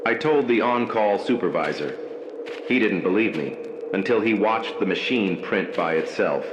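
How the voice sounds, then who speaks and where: A man playing a haunted night-shift hospital worker, narrating a scripted horror story in slow, measured, ominous phrases. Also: fairly low pitch, animated, roomy sound, background noise, lightly acted. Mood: grave, uneasy.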